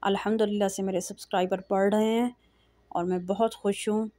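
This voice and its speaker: A middle-aged woman, narrating a casual shopping vlog in a calm, soft-spoken way with frequent hesitations. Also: medium pitch, brisk, no room sound. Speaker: vlogger. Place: large indoor shopping mall. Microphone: phone camera held while walking.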